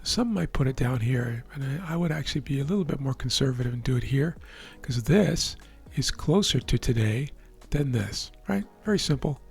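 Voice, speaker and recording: A man with a hoarse, worn voice calmly explaining the markets as a trading educator, often checking in with the listener. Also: mid-range voice, normal speed, few pauses. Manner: relaxed, explanatory, checks in with "right?". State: hoarse, losing his voice. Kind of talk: live market commentary over a chart screen.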